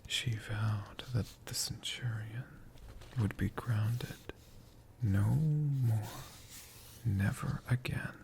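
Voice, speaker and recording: A man telling a story in a hushed ASMR whisper, in short halting phrases with long pauses between them. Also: fairly low pitch, creaky, noisy background. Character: friendly storytelling dragon.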